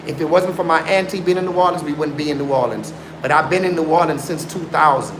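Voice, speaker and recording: A man's voice: fairly high pitch, fast. A man around forty, raised in South Africa, chatting insistently with viewers on a live stream and swearing to his story. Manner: insistent, swears oaths, mixes in Afrikaans. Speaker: livestreamer. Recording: roomy sound with background noise.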